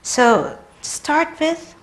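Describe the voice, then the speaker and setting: Slow-spoken Filipino woman giving a talk. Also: high voice, expressive. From Philippines. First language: Tagalog. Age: 30s. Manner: warm, personal storytelling with light humor. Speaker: conference speaker, librarian. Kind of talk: conference lecture with slides.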